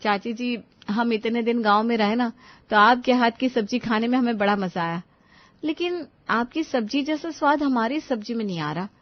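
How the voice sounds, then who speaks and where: Scheming young daughter-in-law for a cartoon family tale, played by a female narrator, high voice, dry recording. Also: moderate pace, no room sound, lo-fi sound, lightly acted. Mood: polite, puzzled. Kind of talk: cartoon story dialogue.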